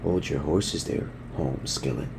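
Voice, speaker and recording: Man doing a very deep, gravelly surfer-dude gorilla. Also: measured pace, noisy background, heavily acted. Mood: laid-back, encouraging. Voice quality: gravelly, breathy, half-whispered. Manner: surfer slang, soothing instructional delivery. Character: laid-back male cartoon gorilla surfer dude instructor. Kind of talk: ASMR roleplay parody.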